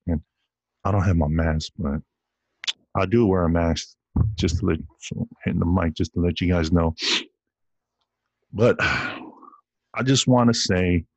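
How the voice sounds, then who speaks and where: A man's voice: low voice, a little mellow, husky, no room sound, muffled recording. A man of Samoan heritage hosting his own podcast, rambling playfully and talking straight to his listeners in a casual solo rant. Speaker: podcast host. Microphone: computer recording via video call app.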